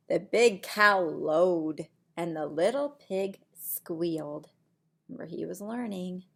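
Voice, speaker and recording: A middle-aged woman reading a picture book to young children in a deliberate, sing-song way, with simple asides, recorded in a room at home. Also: mid-range voice. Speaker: preschool teacher reading aloud. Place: small indoor room at home.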